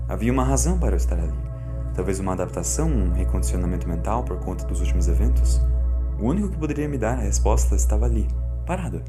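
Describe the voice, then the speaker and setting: Brief: male narrator for horror audiobook, solemn, dramatic, theatrical pacing with weighted pauses, clear music bed. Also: medium pitch. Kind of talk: dramatic scripted narration of cosmic horror fiction. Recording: loud music under the narration.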